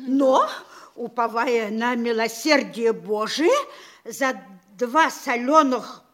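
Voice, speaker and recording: Casting: vain middle-aged official's wife, very high voice, flustered, bickering, theatrical radio play style. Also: female voice, fairly bright tone. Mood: flustered, puzzled. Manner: flustered, exclamatory, bossy, quick to bicker. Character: fussy, vain mayor's wife, a provincial lady and mother.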